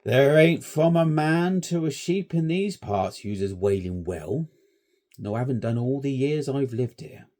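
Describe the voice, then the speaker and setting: A man voicing a grave, superstitious old shepherd who talks slowly in rustic dialect. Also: medium pitch, expressive, darkish tone, pitched above the performer's own voice, lightly acted.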